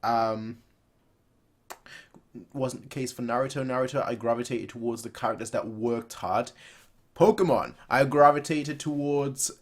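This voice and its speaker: A man's voice: medium pitch, unhurried, pauses often. Chatty young man, rambling casually. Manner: chatty, rambling, repeats phrases. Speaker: reaction video host. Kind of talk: casual commentary, reacting to a cartoon. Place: small bedroom, indoors.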